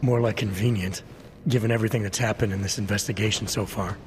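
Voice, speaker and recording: An actor playing a grim, guarded cyborg agent in a video game, speaking in a low, clipped way. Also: male voice, steady tone, quick pace, slight background noise, lightly acted. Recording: game cutscene with loud score.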